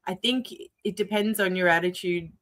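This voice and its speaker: A woman's voice: mid-range voice, normal speed, a bit bright. A middle-aged woman with an Australian accent, talking reflectively and candidly as a guest in a conversational interview. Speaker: podcast guest.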